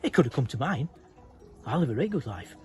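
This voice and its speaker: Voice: medium pitch, big pitch swings, fast, a little mellow, soft background music, natural voice. A storyteller voicing self-righteous townsfolk who gossip indignantly.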